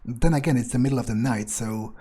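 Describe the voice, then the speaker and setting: A man's voice: medium pitch, a little fast, mellow, breathy edge, close to an everyday voice. A man playing a cynical, crude gangster turned vampire, thinking aloud in character in a sardonic, hesitant mutter during a video game. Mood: musing.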